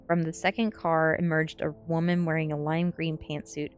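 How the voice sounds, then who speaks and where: Lady streamer w a lowish voice, asmr book reading n playful chat, faint music behind. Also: little pitch movement, moderate pace, highs cut off. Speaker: VTuber streamer.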